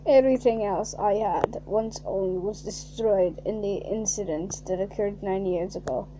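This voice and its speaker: A woman reading a fan fiction aloud in a mocking way, reading flatly and then bursting into shrieking, laughing asides. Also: medium pitch, measured pace, background noise.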